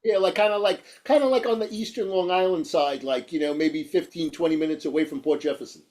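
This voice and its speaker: A man's voice: a little high, quick pace, darkish tone. A man with a nasal New York accent chatting casually as a guitarist in an interview, stammering and repeating himself as he rambles. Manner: rambling, stammering, repeats words and says "you know" often. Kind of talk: casual chat.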